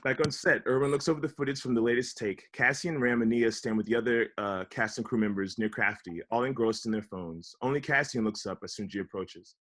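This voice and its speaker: A man's voice: mid-range voice, a little fast, few pauses, a bit bright. A man narrating the stage directions at a table read of a comedy script.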